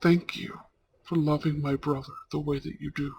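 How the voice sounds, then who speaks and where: A man playing a warm, protective older brother from a mafia family, speaking tenderly and earnestly in a romantic audio roleplay. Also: on the high side, flat delivery, creaky, husky, full character voice. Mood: earnest, relieved. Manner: tender, earnest, halting delivery with sprinkled Italian endearments.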